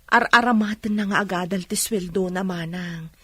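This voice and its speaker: A woman's voice: lowish voice, normal speed, sharp tone, breathy edge, dry recording. An actress playing a weary, plain-spoken Ilocano village mother, firm but patient, in a radio drama. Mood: weary refusal.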